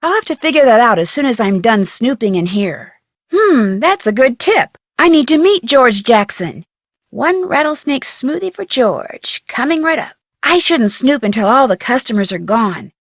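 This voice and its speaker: A woman's voice: a little high, sing-song, normal speed, no room sound, clean studio sound. A woman voicing a curious, plucky young female detective in a video game, musing aloud expressively.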